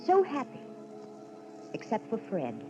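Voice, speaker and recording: A discontented, haughty wife in a vintage radio thriller. Her voice is fairly high and very animated, with melodramatic emphasis. The sound is muffled, with music clearly audible. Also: measured pace. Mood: scornful, discontented. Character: discontented, ambitious wife, scornful and yearning. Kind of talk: 1940s-50s radio drama acting.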